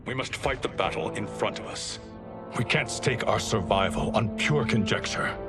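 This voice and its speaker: A grave, devoted father and soul collector voiced by a man in a game cutscene. The voice is on the low side, under loud music. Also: normal speed, close to an everyday voice. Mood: firm. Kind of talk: video game cutscene acting.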